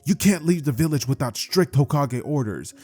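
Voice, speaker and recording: A man's voice: fairly high pitch, animated, normal speed, a little mellow. A man voicing an adult male ninja gate guard who speaks firmly and commandingly. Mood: stern.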